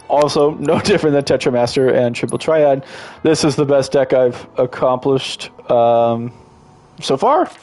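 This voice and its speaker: A man's voice: medium pitch, darkish tone. A congested man giving casual, enthusiastic gameplay commentary as a streamer, rambling and restarting his sentences often.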